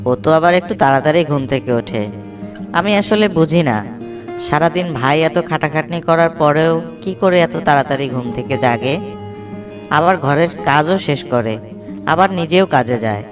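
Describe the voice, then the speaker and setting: A man playing a woodcutter's lazy, envious brother, musing to himself in an animated moral tale. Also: on the high side, normal speed, lightly acted. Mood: idle musing. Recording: music clearly behind voice. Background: plucked-string music.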